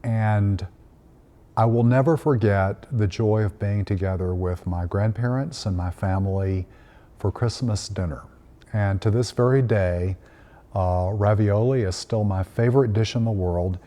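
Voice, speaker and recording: Low mellow guy, a priest from tennessee, slow gentle christmas stories, lots of uh's. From Tennessee, United States. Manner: gentle and unhurried, frequent "uh" fillers. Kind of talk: reflective Christmas storytelling message.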